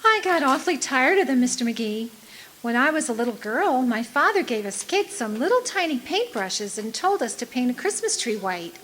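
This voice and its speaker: A woman's voice: high voice, big pitch swings, moderate pace, fairly bright tone. A woman playing a naive, bubbly, scatterbrained young woman in an old-time radio comedy. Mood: bubbly, guileless. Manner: guileless, bubbly. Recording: live stage performance with background noise.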